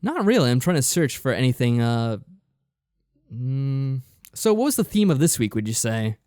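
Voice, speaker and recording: A man co-hosting a podcast, chatting casually in an agreeable, low-key way. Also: very animated, normal speed, a little mellow, no echo, clean studio sound. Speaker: podcast co-host.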